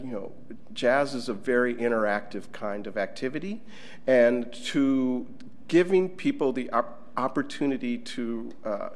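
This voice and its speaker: A middle-aged man giving a conference presentation in a casual, conversational way, with fillers, at a table microphone in a conference room. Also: mid-range voice. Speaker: conference speaker.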